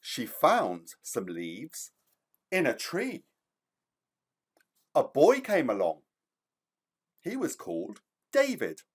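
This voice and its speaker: A man's voice: mid-range voice, huge pitch swings, slow, long pauses, husky. A man, a children's author, speaking to young children in an enthusiastic, theatrical storytelling style with emphatic delivery.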